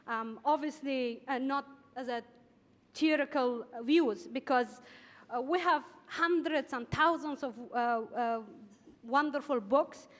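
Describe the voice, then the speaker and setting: A fairly high-voiced woman from Afghanistan speaks on a panel. She is careful and halting, with many pauses and fillers, and a long reverb fills the large hall around her. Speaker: journalist and panelist. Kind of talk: panel discussion remarks.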